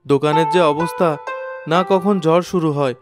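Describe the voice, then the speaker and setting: Man as poor village father, high voice, earnest, pleading, cartoon storytelling, background music. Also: moderate pace, natural voice. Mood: worried. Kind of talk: cartoon moral story voice acting. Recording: music behind the voice.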